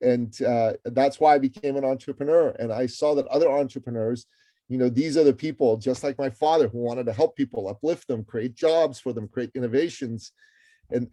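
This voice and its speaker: A man's voice: medium pitch, brisk, mellow, dry recording. A middle-aged man from Iran, a guest author and entrepreneur, talking energetically and emphatically in an interview, often restarting words. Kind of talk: interview conversation.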